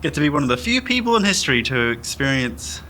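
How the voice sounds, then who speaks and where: A man playing a weary, anxious space traveler, recounting his days in a halting, understated audio drama journal. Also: medium pitch, very animated, sharp tone, slight background noise. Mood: subdued, uneasy. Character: weary, anxious man awakened from centuries of cryosleep.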